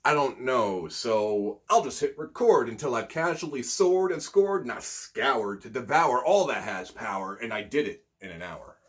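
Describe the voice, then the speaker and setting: A man with a husky voice, an amateur poet hosting his own videos, talking casually and reciting spoken-word poetry. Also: mid-range voice, very animated, moderate pace, few pauses.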